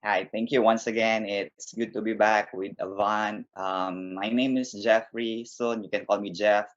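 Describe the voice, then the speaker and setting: Filipino-born man, nurse, relaxed talk with lots of "you know", kinda muffled audio. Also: lowish voice, measured pace. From Philippines. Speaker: nurse, interview guest. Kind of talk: casual interview answers. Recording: dull, lo-fi sound.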